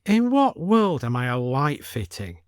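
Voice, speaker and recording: A man's voice: on the high side, very animated, measured pace, clear, resonant tone, dry recording, studio quality. A man voicing an exasperated, indignant fellow protesting querulously in an audio story.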